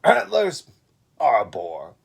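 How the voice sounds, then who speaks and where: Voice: medium pitch, huge pitch swings, slow pace, gravelly, husky, pitched above the performer's own voice, exaggerated voice. A reader voicing a vain male lion who is proud of himself, emphatic and boastful.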